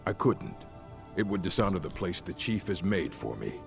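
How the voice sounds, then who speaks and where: A man playing a gruff, proud orc warrior in a fantasy video game, gravelly and blunt, speaking in solemn, terse lines. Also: low voice, very animated, normal speed, exaggerated voice. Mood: proud, reluctant. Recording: game music behind the voice.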